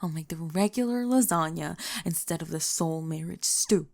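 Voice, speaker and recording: A woman's voice: on the low side, normal speed, vocal fry, darkish tone, voice pushed down. Woman doing kooky mystical mom for an audio roleplay, airy n theatrical, meddles lovingly, takes her time. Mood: grudging, wistful. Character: eccentric, airy, mystical mother who dabbles in potions.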